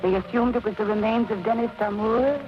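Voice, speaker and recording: A woman's voice: on the high side, fairly even intonation, a little fast, music in the background, close to an everyday voice. An actress playing the anguished daughter of a murdered man, in earnest, dramatic 1940s radio style. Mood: somber certainty. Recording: very noisy, muffled 1940s radio recording.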